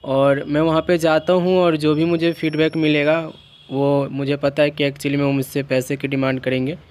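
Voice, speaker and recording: A man's voice: fairly high pitch, little pitch movement, moderate pace, a little mellow. A man explaining things to viewers in a vlog, repeating and rephrasing his points as he goes. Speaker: YouTuber helping open-school students.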